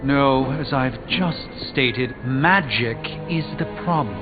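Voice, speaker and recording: Thin, narrow-band audio with loud music carries a male actor as a learned sorcerer from a video game. He is a composed, self-assured man who speaks formally and articulately, with dry wit. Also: mid-range voice, takes it slowly, close to an everyday voice. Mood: dry, impatient. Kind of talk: video game dramatic dialogue.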